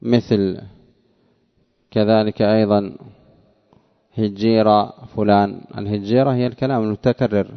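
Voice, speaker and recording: A man's voice: lowish voice, little pitch movement, normal speed, pauses often. A man teaching grammar in a lecture, explaining a text line by line in a didactic way and repeating phrases for emphasis. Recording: dull lo-fi sound.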